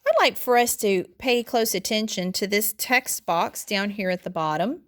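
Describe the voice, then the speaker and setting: A woman reading an article aloud as a teacher would for students, in an instructional, deliberate way with the odd stumble over words. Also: mid-range voice, fairly bright tone. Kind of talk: read-aloud lesson narration.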